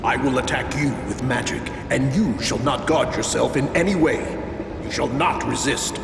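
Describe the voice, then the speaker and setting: An actor playing a manipulative male sorcerer and royal regent in a video game cutscene, theatrical and commanding, with deliberate oratory. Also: mid-range voice, creaky, noisy background, natural voice. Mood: cold, menacing. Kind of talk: theatrical scripted game drama.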